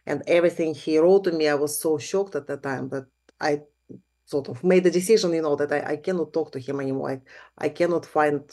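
A woman's voice: sits low, fairly quick, a little mellow. A middle-aged woman from Ukraine, a native Russian speaker with a Ukrainian accent, speaking earnestly and reflectively as an interview guest. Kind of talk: conversational interview.

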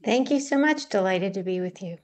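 A woman's voice: medium pitch, expressive, fairly quick. A woman professor answering an interview question, explaining her field articulately.

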